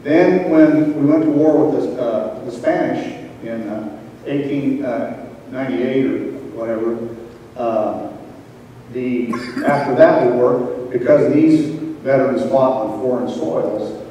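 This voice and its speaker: An older man from a small town giving an informal local history talk in a relaxed, halting way with many fillers. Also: mid-range voice, dull audio, slight background noise. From Wellington, Kansas. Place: large school auditorium. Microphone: handheld mic, recorded from a far camera. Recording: echoey hall from far off.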